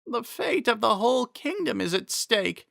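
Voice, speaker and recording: An old woman attendant from a fantasy storybook, performed by a man, loyal and anxious. She speaks urgently and solemnly in a raised, bright voice. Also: very high-pitched, very animated, normal speed, gravelly, no room sound, clean studio sound, pitched above the performer's own voice, exaggerated voice. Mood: grave. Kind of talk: dramatic fantasy storybook reading.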